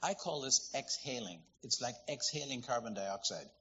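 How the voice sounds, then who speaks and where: A man from Ireland, preaching a sermon in a lively, conversational, storytelling way. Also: mid-range voice, moderate pace, slightly rough, highs cut off. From Northern Ireland.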